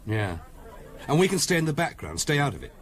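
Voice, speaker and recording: A man's voice: fairly high pitch, sing-song, a little fast. A man playing an irritable, evasive and protective English husband in a 1970s radio drama, curt and dismissive. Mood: firm.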